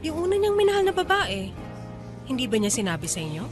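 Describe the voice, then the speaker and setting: A woman's voice: sits high, wildly swooping pitch, quick pace, over music. A woman playing a meek, earnest young provincial mistress and single mother in a Filipino radio drama. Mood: meek, defensive.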